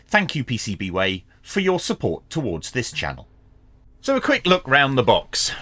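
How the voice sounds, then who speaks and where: Bright, dry-voiced man reviewing a product. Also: mid-range voice, no room sound. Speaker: reviewer and channel host. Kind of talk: product review narration to viewers.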